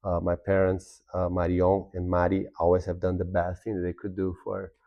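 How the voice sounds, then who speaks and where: A man's voice: deep voice, monotone, moderate pace, gravelly, no echo, dull audio. A man in his thirties from Brazil, a native Portuguese speaker, answering interview questions politely and steadily. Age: 30s. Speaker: guest.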